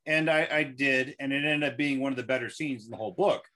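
A man, a guest author, chatting casually about writing in a halting way, often repeating words. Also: mid-range voice, a bit bright.

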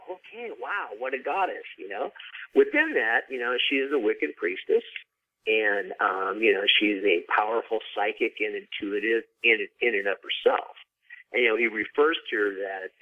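A man with a low voice talks in a casual, explanatory way as an author being interviewed. His speech is informal and full of conversational asides. The sound is narrow and phone-like, dry and without room echo. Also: husky. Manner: informal, frequent "you know" asides.

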